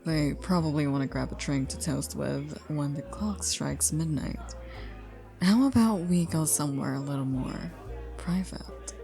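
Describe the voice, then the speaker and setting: Flirty, teasing party charmer played by a woman, unhurried and mellow, playful coaxing for an intimate ASMR roleplay. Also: low-pitched, faint music behind. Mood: flirty, inviting. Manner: playful, coaxing, drawn-out teasing with breathy asides. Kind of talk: ASMR roleplay, intimate one-sided dialogue.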